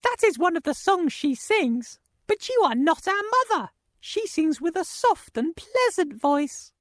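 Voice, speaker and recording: A woman's voice: very high voice, big pitch swings, unhurried, no room sound, clean studio sound, full character voice. A woman voicing a clever, defiant young goat kid in a children's story, childlike and firm. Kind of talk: children's storybook character acting.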